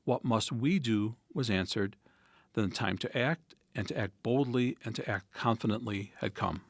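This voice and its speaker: Male priest, low pitch, formal deliberate essay reading, close dry sound. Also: no echo.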